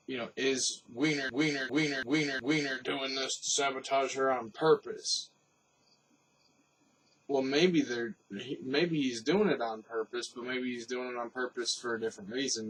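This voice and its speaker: A man's voice: mid-range voice, a bit bright, slightly rough, recorded from a distance, low-quality recording. A man giving off-the-cuff news commentary, rambling and thinking aloud. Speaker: commentator and host.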